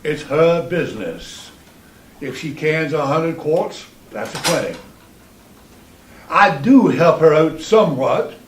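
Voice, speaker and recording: A man playing a bitter, sardonic Southern farmer from a fallen planter family in a stage monologue, with a dry, folksy drawl and a Southern US accent. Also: a little high. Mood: grumbling, matter-of-fact. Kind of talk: theatrical monologue reading. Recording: roomy, distant microphone in hall.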